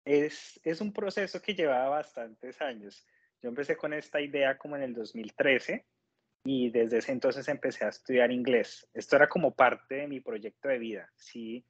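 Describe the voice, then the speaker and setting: A Colombian man in his thirties speaks as an interview guest in a mid-pitched voice. He explains his experience politely and with measure, on slightly dull, muffled audio with no room echo. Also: normal speed, slightly rough. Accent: Colombian Spanish. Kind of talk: conversational personal account.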